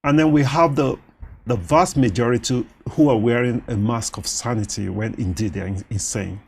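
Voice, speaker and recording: A man with a Nigerian accent whose first language is Yoruba, talking as a doctor in a studio interview, animated and quick to laugh. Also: mid-range voice, creaky, slightly rough. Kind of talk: conversational interview.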